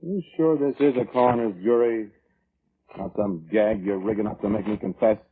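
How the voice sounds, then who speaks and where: A man's voice: fairly low pitch, moderate pace, slightly rough. An actor playing a selfish, self-justifying man in an old-time radio drama, defensive and colloquial in manner. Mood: suspicious. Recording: muffled vintage radio recording.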